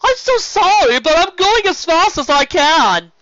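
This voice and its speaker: A man's voice: very high voice, big pitch swings, measured pace, sharp tone, no echo, highs cut off, pitched above the performer's own voice, heavily acted. A man voicing a frantic, apologetic male river serpent, calling out in a strained manner during a story.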